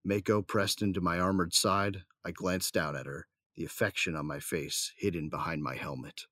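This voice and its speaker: An actor as an armored warrior narrating a sci-fi audio drama. He has a very deep voice, recorded dry and close, with a wry sense of humor. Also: steady tone, normal speed, sharp tone, dry recording. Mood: wry watchfulness. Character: armored male warrior, wry, affectionate, first-person narrator.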